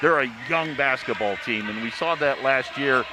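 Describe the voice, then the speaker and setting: Male play-by-play announcer on a panel, mid-pitched, upbeat and smooth-flowing delivery, noticeable background noise. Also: normal speed. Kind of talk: sports analysis on a live broadcast.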